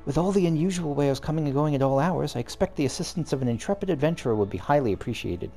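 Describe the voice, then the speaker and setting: A man reading as a calm scholarly guide. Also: fairly high pitch, vocal fry, soft background music, voice pushed up. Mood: measured. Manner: measured, formal reading. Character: calm learned young female scholar guide. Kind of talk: reading game dialogue aloud.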